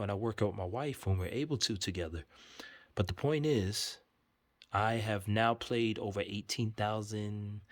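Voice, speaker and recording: A man's voice: lowish voice, dry recording. A man, giving a rambling, self-assured spoken update full of numbers. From New York City. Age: 30s. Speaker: vlogger.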